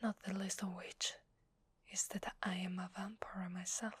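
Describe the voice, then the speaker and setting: A woman with an Italian accent playing a gentle, apologetic vampire in an ASMR roleplay, whispering softly and hesitantly close to the microphone. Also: mid-range voice, flat delivery, normal speed, dry recording. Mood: shy, confessional. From Italy. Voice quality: soft breathy whisper. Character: gentle, apologetic female vampire confiding in a human. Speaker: ASMR voice actor. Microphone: close microphone, dry.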